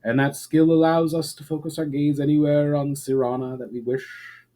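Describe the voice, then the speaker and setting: A man voicing an ancient male elf border guard in a storybook reading, courteous, wistful and weary, with formal, measured speech. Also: fairly high pitch, flat delivery, warm tone, pitched above the performer's own voice, close to an everyday voice. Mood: calm, courteous. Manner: formal, measured, archaic phrasing.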